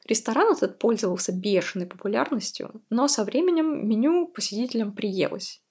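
A woman's voice: fairly high pitch, lively intonation, brisk, dark tone, slightly rough. A woman hosting a podcast, going through quiz answers and explaining them in a chatty, explanatory way. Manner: chatty, explanatory, with light laughs. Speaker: podcast host.

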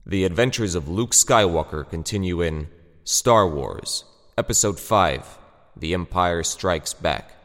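A man's voice: low-pitched, lively intonation, unhurried, a bit bright. A man reading dramatic scripted narration for an audio drama. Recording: long reverb over music.

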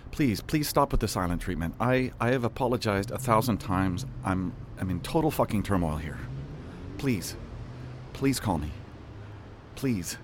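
A man playing a flustered, expressive, embattled official. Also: on the low side, background noise, close to an everyday voice. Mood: desperate pleading. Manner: halting, flustered, profane, sardonic. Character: anxious, embattled, foul-mouthed middle-aged male government official. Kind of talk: naturalistic audio drama acting.

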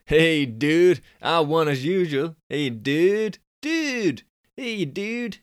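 A man's voice: fairly high pitch, expressive, drawn-out words, smooth, no room sound, clean studio sound, pitched above the performer's own voice. A man voicing a brash young American snowboarding champion with a put-on Californian accent and a boastful surfer-dude drawl. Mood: cocky.

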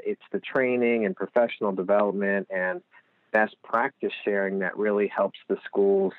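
A man with a Lehigh Valley Pennsylvania accent speaks as a guest over a tinny, phone-like line. His voice is fairly low and dry. He explains things steadily and earnestly, leaning on "you know.". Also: dry recording. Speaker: guest, nonprofit executive director. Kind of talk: interview answers.

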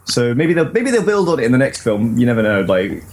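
A man's voice: mid-range voice, big pitch swings, fairly quick. A man co-hosting a podcast in casual, conversational banter. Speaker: podcast co-host.

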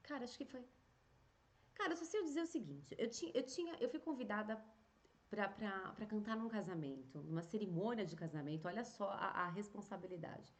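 A woman's voice: medium pitch, fairly quick. Chatty woman telling a story. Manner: chatty, animated, many fillers and restarts. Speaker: singer and podcast host. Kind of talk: casual storytelling monologue.

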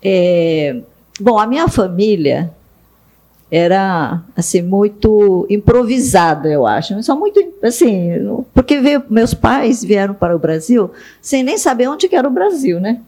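A woman's voice: mid-range voice, very animated, unhurried, mellow. An older woman speaking as a business guest on a panel, telling family stories in a casual, self-deprecating way. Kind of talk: casual anecdotal talk.

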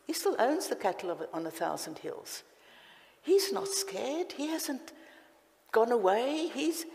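A woman with a South African accent preaching a passionate, emphatic sermon. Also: on the high side. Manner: passionate, emphatic, with sudden loud lifts. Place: church hall. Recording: reverberant hall.

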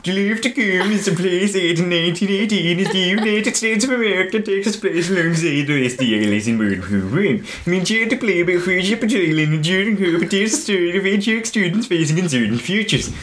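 A man's voice: high-pitched, animated, fairly quick, few pauses, a bit bright, pitched above the performer's own voice. A man doing a put-on Swedish accent to read out an advert in an exaggerated singsong way. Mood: playful.

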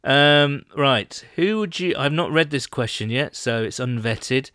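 A man's voice: mid-range voice, expressive, unhurried, fairly bright tone, dry recording, studio quality. A man co-hosting a podcast in casual chat, rambling and laughing as he reads out listener questions. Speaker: podcast co-host.

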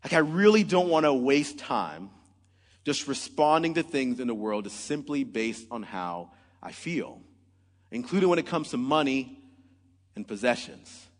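A man's voice: mid-range voice, lively intonation, measured pace, big-room sound. A man in his forties preaching a sermon in earnest, often opening with "man" or "look". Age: 40s. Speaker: pastor.

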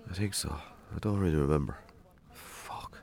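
An actor playing a hungover, defensive Irish farmer in a naturalistic radio drama. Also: male voice, very deep voice, normal speed, gravelly, darkish tone. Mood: worried, groggy. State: hungover, groaning.

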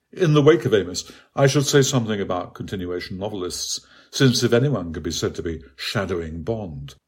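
A man reads his own literary essay aloud in a measured, precise, bookish way. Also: low-pitched, slightly rough. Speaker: author reading own article. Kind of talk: scripted literary essay narration.

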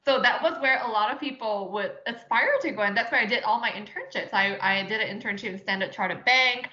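A woman's voice: fairly high pitch, brisk, sharp tone. A woman, chatting as an interview guest with lots of fillers and frequent laughs. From Singapore. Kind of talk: casual interview conversation. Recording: roomy, dull lo-fi sound.

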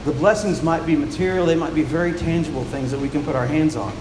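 A man preaching a sermon, soft-spoken and often repeating words as he restarts a thought, after reading scripture aloud. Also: fairly high pitch, fast talker, music in the background. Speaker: pastor. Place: church with a large echoing room. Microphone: handheld mic. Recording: echoey, reverberant hall with noise.

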